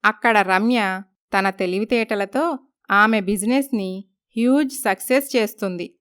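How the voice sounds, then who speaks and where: A woman's voice: mid-range voice, measured pace, a bit bright, clean tone. A woman reading scripted narration for a moral story.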